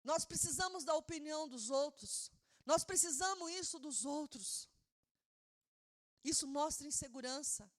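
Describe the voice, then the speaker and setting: High-voiced woman preaching with fervor. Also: measured pace. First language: not Portuguese. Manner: impassioned preaching, building to shouted emphasis; repeats words, stumbles and restarts while preaching. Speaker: pastor. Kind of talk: sermon.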